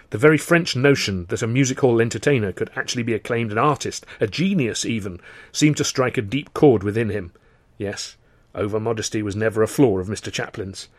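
Man, audiobook narration, mid-range pitch, droll storyteller, scripted, close and dry. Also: no room sound. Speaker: author reading his own novel.

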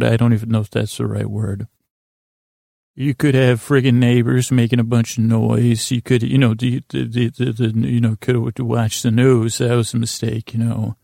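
A man hosting a sleep podcast with a rambling monologue, halting and stammering through false starts in a self-deprecating, meandering way. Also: mid-range voice, creaky, a little mellow, no room sound, clean studio sound. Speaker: podcast host.

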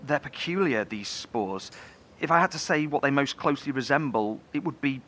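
A male engineer of a survivor bunker, mid-pitched and sharp-toned. He is grave and cautious, explaining in a halting, solemn manner for a horror audio drama. A faint rumbling noise sits behind him. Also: animated. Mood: grave, analytical. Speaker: lead engineer.

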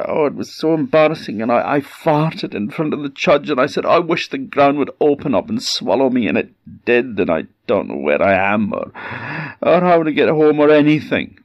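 A man's voice: on the high side, no room sound, pitched above the performer's own voice. A man voicing a flustered, embarrassed village woman who stammers, in a slightly dull recording.

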